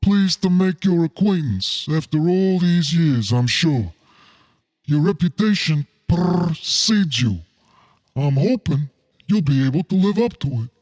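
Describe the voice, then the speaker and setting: A man's voice: on the high side, animated, a little slow, darkish tone. A man playing a scheming crime boss in a noir radio play, formal and genteel with an edge of menace. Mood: oily politeness. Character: suave scheming crime boss Fat Cat, noir villain.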